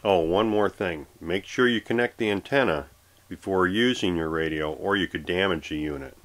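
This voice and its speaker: A man's voice: sits low, moderate pace, a bit bright. A man presenting his own how-to sailing video, narrating in a casual, explanatory way.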